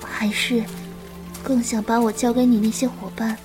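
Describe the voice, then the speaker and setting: Frail, gentle young woman, high soft voice, acted. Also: level tone, normal speed, music in the background, close to an everyday voice. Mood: wistful. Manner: gentle, weary, tender, coaxing. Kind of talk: Chinese period wuxia audio drama acting.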